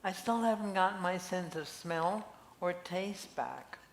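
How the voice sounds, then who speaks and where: A woman's voice: fairly low pitch, unhurried. An older woman reading the part of a chatty, curious friend in an amateur play. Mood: reflective. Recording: echoey room with faint noise.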